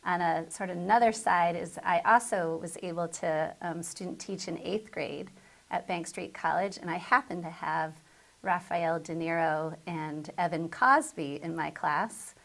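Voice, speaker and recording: Middle-aged woman, American accent, slightly low pitch, noticeable vocal fry, conversational interview guest. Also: measured pace, fairly bright tone. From southern Connecticut, United States. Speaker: school principal, interview guest.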